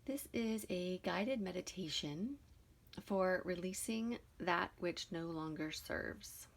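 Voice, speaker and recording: A woman leading a guided meditation in a soothing, deliberate way, with soft, breathy phrase endings and pauses between short phrases. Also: fairly low pitch.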